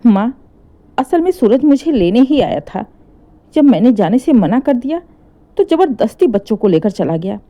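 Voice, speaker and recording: Woman acting out a distraught young mother, high-pitched. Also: very animated, moderate pace, mellow. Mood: anxious, pleading. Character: distraught young mother pleading tearfully for her children.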